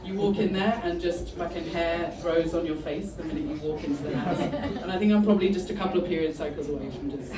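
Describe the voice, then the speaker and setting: A woman's voice: fairly low pitch, fast talker, hardly any pauses. A middle-aged woman from South Africa doing a stand-up set, bantering crudely and mocking herself in front of the crowd. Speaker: stand-up comedian. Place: comedy club with a live audience. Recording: roomy live club sound, mic at a distance, crowd noise.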